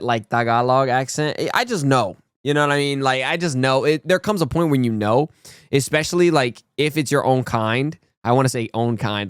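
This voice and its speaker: A man's voice: mid-range voice, clear, resonant tone, no room sound, studio quality. A young man from Brazil chatting casually and rambling with slang while reacting to a video. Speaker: reaction channel host.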